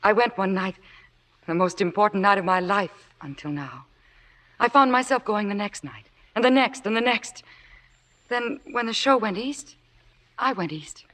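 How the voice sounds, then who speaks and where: An actress playing a demure, earnest young theatre fan, humble and polite, in an old radio drama. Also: female voice, medium pitch, animated, normal speed, fairly bright tone, lightly acted. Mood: hesitant. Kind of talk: 1940s-50s radio drama acting. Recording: old radio broadcast recording.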